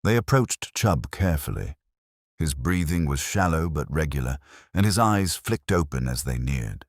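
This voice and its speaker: A man's voice: a bass voice, expressive, moderate pace, no room sound, clean studio sound. A man narrating a scripted mystery story as an audiobook reader.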